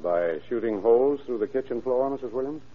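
An actor playing a calm, probing police lieutenant who questions politely and evenly in an old radio crime drama. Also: male voice, medium pitch, a little fast, breathy edge. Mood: probing, firm. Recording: muffled, lo-fi vintage radio recording.

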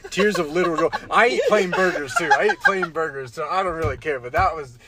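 A man's voice: fairly high pitch, fast, a loud crowd. A young man co-hosting a casual podcast, telling a rambling story with lots of restarts and "bro"s. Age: young adult. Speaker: podcast co-host. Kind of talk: casual chat.

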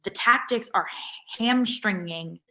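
A woman co-hosting a casual sports podcast, speaking in a measured, earnest way. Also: mid-range voice, bright, forceful tone. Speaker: podcast co-host.

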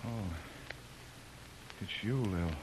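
Weak feverish adventurer, low halting slow voice, old radio serial, noisy recording. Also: male voice. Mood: weak, anxious. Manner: halting, faint, breathy delivery. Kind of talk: 1930s radio acting.

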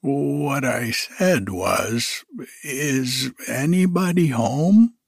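A man voicing a slow, simple, cheerful male bear who hums in a sing-song way as he reads a storybook aloud. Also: on the high side, very animated, gravelly, a little mellow, no room sound, clean studio sound, pitched above the performer's own voice, heavily acted. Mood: puzzled. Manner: slow, sing-song humming, puzzled musing.

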